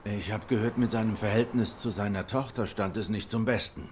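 A man plays a cool private eye from a noir game. His voice is on the low side, measured and courteous, over faint background noise. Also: steady tone, darkish tone, close to an everyday voice. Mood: calm, probing. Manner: measured, polite questioning. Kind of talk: noir video game dialogue.

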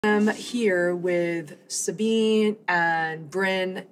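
A woman's voice: on the low side, steady tone, drawn-out words, a little hiss or hum. Conversational woman hosting a podcast. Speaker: podcast host and interviewer. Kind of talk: casual podcast interview.

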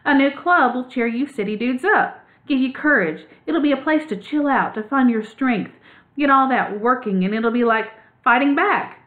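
A woman's voice: sits high, normal speed, pitched above the performer's own voice, close to an everyday voice. Ragweed, a woman voicing a bold eager mouse. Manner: earnest, slangy, pushy. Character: eager young male mouse, bold talker. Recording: tinny phone-like sound.

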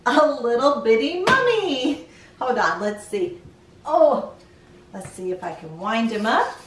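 Very animated, high-pitched woman storyteller. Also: a little slow, roomy sound, slight background noise. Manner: animated, sing-song, talks directly to young children. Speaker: children's read-aloud show host. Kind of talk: children's storytime presenting and picture-book reading.

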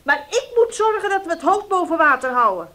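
A woman's voice: very animated, brisk, pushed and bright, heavily acted. An actress playing a scolding, penny-pinching middle-aged mother in a 1970s radio play. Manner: scolding, shrill.

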